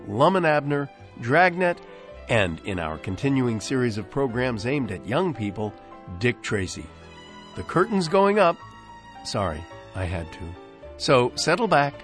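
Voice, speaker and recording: A man's voice: mid-range voice, fairly bright tone. An American man hosting a radio show with a scripted but conversational introduction and playful, theatrical emphasis.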